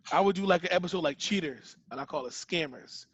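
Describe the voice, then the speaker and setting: Man, podcast co-host, jokey and loud, slightly high for a man, recorded close and dry. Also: slightly rough, no room sound, dull audio. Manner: loud joking, quick bursts of laughter. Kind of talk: casual chat.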